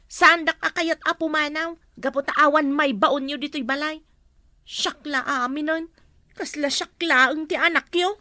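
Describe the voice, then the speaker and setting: A woman's voice: sits high, steady tone, moderate pace, bright, forceful tone, no room sound, clean studio sound. A woman with an Ilocano accent playing a complaining daughter in a family comedy sketch.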